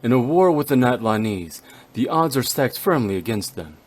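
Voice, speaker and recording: A man's voice: medium pitch, sing-song, normal speed. A man voicing a stoic, cold and brooding warrior in a video game, with solemn, formal, terse delivery. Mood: grim, calculating. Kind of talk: dramatic video game voice acting.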